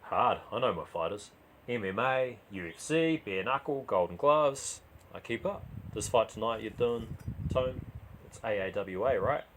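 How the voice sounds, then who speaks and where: A man's voice: on the low side, lively intonation, a little slow, slight background noise. Man voicing an intense Uber driver, clipped talk. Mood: keen, knowing. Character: serious Tongan male Uber driver, middling age, fight fan.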